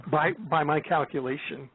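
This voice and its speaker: An American man of seventy talking in a rambling, halting way in an interview, often restarting and repeating his words. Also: fairly high pitch, vocal fry, breathy edge. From United States, born in Florida. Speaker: guest, author and researcher. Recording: tinny phone-like line, dry.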